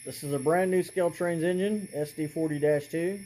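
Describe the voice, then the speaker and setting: A man casually presenting a hands-on hobby demonstration, talking haltingly and thinking aloud as he works. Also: on the high side, dark tone, a little hiss or hum, low-quality recording.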